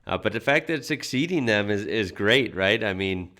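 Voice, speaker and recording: A man's voice: lowish voice, expressive, normal speed, bright, forceful tone. An American man hosting a reaction video, talking in a chatty, loose way with frequent fillers and restarts. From United States. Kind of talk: casual reaction commentary.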